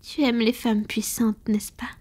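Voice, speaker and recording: A woman playing a tender, proud centuries-old vampire in an intimate ASMR roleplay, murmuring slowly in a soft, breathy whisper. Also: on the high side, flat delivery. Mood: teasing, doting. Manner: slow, intimate, affectionate murmuring. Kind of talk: French-language ASMR romantic roleplay.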